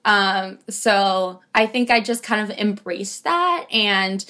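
A woman from Los Angeles answers interview questions in a chatty, relaxed way. Her speech is full of "like" and "you know", and she laughs often between thoughts. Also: mid-range voice, slow pace.

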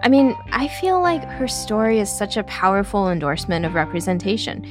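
An American woman co-hosts a podcast, offering thoughtful, engaged responses and quickly agreeing with points she finds strong. Faint music sits under her voice. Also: mid-range voice, big pitch swings. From United States. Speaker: podcast co-host. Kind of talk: conversational podcast discussion.

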